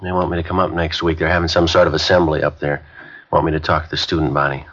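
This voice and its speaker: An actor playing a terse, matter-of-fact police detective sergeant in a 1950s radio drama, with clipped delivery. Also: male voice, very deep voice, flat delivery, quick pace, fairly bright tone. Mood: easygoing. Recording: muffled 1950s radio broadcast.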